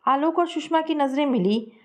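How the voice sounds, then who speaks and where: A woman reading a family moral story aloud in a steady, tender narrating manner. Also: high-pitched, moderate pace.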